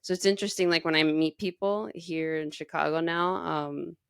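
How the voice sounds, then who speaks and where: A woman's voice: low-pitched, steady tone, normal speed, vocal fry, clear, resonant tone, no echo, clean studio sound. A woman in her thirties from the United States, raised in Texas, chatting casually as a podcast host in informal speech peppered with "like". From United States, grew up in Texas. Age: 30s. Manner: informal, heavy use of "like". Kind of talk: casual conversational podcast chat.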